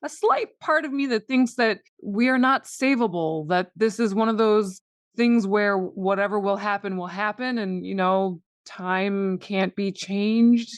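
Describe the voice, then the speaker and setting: A woman's voice: mid-range voice, a little slow, clean tone, no room sound. A woman co-hosting a podcast, talking casually about films in conversation. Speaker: podcast co-host. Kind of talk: casual film discussion.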